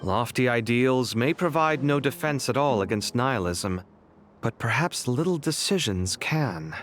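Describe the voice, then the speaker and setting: A man's voice: mid-range voice, expressive, measured pace, a bit bright, slight background noise. An actor playing a proud, argumentative young male scholar in a video game, speaking with theatrical emphasis. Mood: pensive, uneasy.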